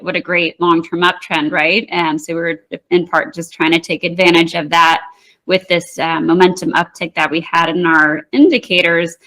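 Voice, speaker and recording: A woman market analyst, a guest in an interview, explaining price charts in a measured way with frequent ums and restarts. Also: on the low side, fairly bright tone, poor audio.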